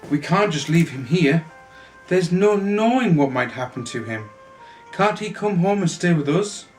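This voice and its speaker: A man's voice: a little high, lively intonation, measured pace, a little mellow, soft background music, voice pushed up. A man voicing a kindly, decisive married woman in a children's storybook, concerned and ready to take charge. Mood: concerned, exclaiming. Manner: exclaiming, concerned, takes charge.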